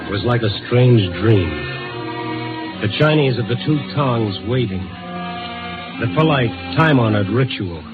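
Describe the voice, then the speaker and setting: A tinny old radio recording carries a world-weary American reporter played by a man, with strong music behind him. His voice is mid-pitched and earnest, with the clipped, dramatic phrasing of 1950s radio. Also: expressive, a little slow, long pauses, natural voice. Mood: hushed, suspenseful. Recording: narrow-band vintage radio broadcast. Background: dramatic music score.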